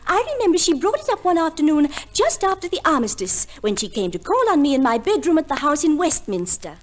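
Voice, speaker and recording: A flighty young society wife, pitched high and very animated. She is vain and genteel, gushing in an affected way, in the manner of old radio comedy. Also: fairly quick. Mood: gushing, self-satisfied. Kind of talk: 1950s radio comedy acting.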